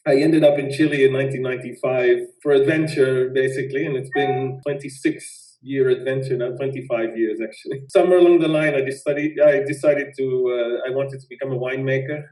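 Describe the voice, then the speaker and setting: A man in his forties from the Netherlands with a Dutch accent, a winemaker answering interview questions in a relaxed way with light chuckles. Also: mid-range voice, fairly quick, few pauses, far from the mic. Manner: relaxed, chuckles between phrases. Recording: roomy sound from away from the mic.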